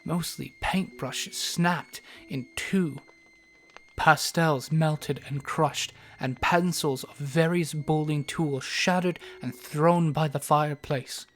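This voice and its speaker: A narrator reading scripted fantasy audio drama narration in a deliberate, descriptive storybook manner. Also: medium pitch, steady tone, soft background music.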